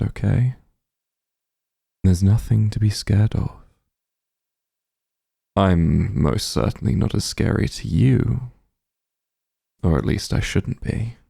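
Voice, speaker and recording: Doting demon lord, played by a man, tender comforting ASMR roleplay, heavy vocal fry, close dry sound. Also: no room sound, exaggerated voice. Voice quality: low, gravelly, husky. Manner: soothing, tender, gently coaxing. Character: doting demon lord, shapeshifted into soft strong form.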